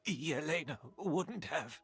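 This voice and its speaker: A bitter older master perfumer, a video game villain. He talks very slowly and haughtily, with dramatic swoops in pitch and a theatrical, deliberate delivery. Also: expressive, vocal fry, a bit bright, breathy edge, full character voice. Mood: disbelieving. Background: faint game music.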